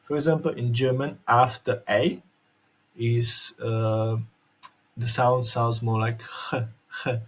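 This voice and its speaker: Slovak man talking haltingly, slightly husky. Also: mid-range voice, little pitch movement. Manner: halting, repeats and restarts words, careful articulation. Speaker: video creator. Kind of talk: casual instructional vlog. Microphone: headphones with mic.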